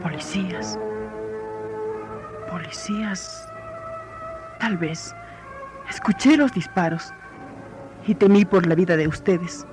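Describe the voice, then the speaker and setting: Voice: medium pitch, slow pace, long pauses, natural voice. An actor playing a conspiratorial, scheming character in a dramatic radio adventure serial. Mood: alarmed, scheming. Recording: radio drama under loud music.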